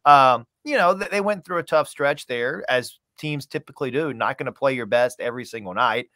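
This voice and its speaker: A man's voice: medium pitch, a little fast, bright, forceful tone, no room sound. Emphatic sportscaster man, dry close sound. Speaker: sports play-by-play announcer, podcast guest. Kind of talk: conversational sports interview. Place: small home room, video call.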